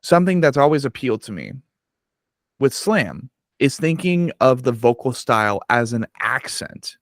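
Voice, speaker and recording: Dry, animated podcast host, a man. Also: medium pitch, moderate pace, dry recording. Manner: animated, swears freely, rambling. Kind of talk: casual interview chat.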